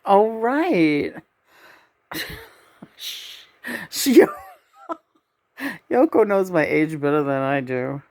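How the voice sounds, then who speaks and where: Slow-spoken woman in her sixties, gravelly. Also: deep voice, lively intonation, dry recording. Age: 60s. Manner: slow, soft-spoken, with hesitations and fillers. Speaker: spiritual channeler. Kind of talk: channeling session chat.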